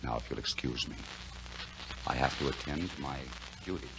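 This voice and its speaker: An actor playing a courteous, gently wise waiter in a 1940s radio drama, speaking earnestly and deferentially. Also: male voice, extremely low pitch, moderate pace, creaky. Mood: gentle, earnest. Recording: muffled, noisy 1940s radio recording.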